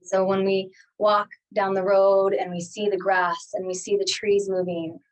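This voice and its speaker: A woman guest speaker giving a guided spiritual talk in a gentle, reflective manner, repeating key phrases. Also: mid-range voice, flat delivery, a little slow, low-quality recording.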